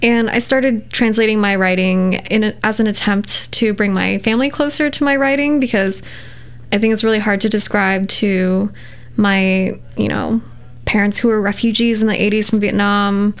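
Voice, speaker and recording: A woman, a poet, talking in a relaxed interview and choosing her words thoughtfully, with many fillers. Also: medium pitch, normal speed, clean tone. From United States. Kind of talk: casual interview conversation.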